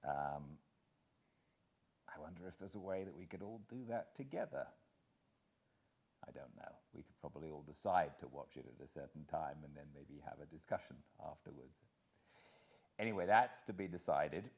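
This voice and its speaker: British man, low voice, casual chat, reflective, hesitant, audiobook narrator. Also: animated, moderate pace, vocal fry, pauses often, a little mellow, husky. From Britain. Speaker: actor and audiobook narrator hosting a reading.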